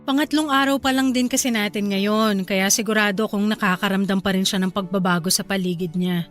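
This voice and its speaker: A woman's voice: mid-range voice, fairly even intonation, brisk, soft background music, close to an everyday voice. An actress playing a caring young wife and new mother in a radio drama, sweet and affectionate. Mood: tender.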